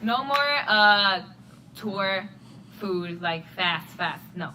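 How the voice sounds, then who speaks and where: A woman's voice: mid-range voice, animated, extremely slow, pushed and bright. A young woman with a Mexican accent, a Spanish speaker, chatting upbeat in a band vlog while talking over her sisters. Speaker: band member vlogging. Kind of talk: casual vlog chat. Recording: distant mic with faint noise.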